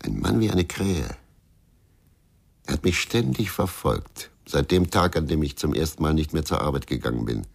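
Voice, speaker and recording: An actor playing a bitter, brooding former police investigator in a radio crime drama, speaking tersely. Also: male voice, very deep voice, lively intonation, normal speed, exaggerated voice. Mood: wary, brooding. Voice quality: gravelly.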